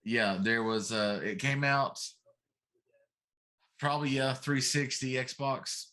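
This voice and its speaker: A man's voice: medium pitch, fairly even intonation, slow pace, bright, forceful tone. A middle-aged man hosting a casual talk show, chatting about games in an emphatic, complaining way.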